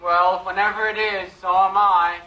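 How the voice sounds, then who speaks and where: An actor playing a loud, eager teenage son with drawn-out delivery in a 1950s radio sitcom. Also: male voice, high-pitched, level tone, lightly acted. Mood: eager, hungry. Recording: noisy, muffled old radio show recording.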